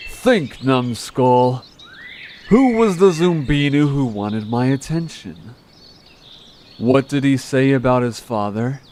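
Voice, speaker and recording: Slow-drawling, manipulative monster gang boss, acted by a man. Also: medium pitch, natural voice. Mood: menacing, condescending. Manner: drawling, sarcastic, condescending. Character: manipulative male Fleen gang leader, vengeful, sarcastic monster villain. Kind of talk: scripted audio drama acting. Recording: steady background noise with chirping birds. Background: birdsong, forest ambience.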